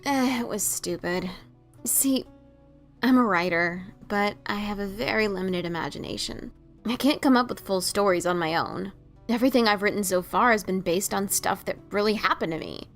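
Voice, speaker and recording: A woman acts out a brash pony adventurer who doubts herself. She stammers and hesitates in a casual way, with a gravelly fry at mid pitch. Faint music plays under this fan audio drama. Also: moderate pace, lightly acted. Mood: sheepish. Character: brash, self-doubting female pegasus pony adventurer-writer.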